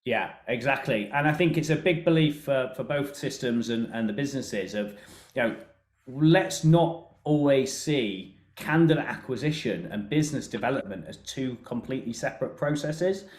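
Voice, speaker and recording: A man presenting a software walkthrough in a webinar, explaining things in a conversational way. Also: mid-range voice, moderate pace. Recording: roomy, echoey sound.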